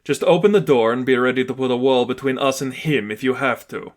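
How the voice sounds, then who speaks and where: A man's voice: mid-range voice, fairly quick, lightly acted. A man voicing a confident villain boss, commanding and smugly persuasive.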